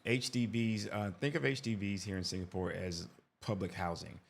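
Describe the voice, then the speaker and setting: A man's voice: fairly low pitch, steady tone, normal speed, creaky, a little hiss or hum. A man giving casual reaction commentary as an expat vlogger, talkative, often tagging "right?" and restarting his sentences.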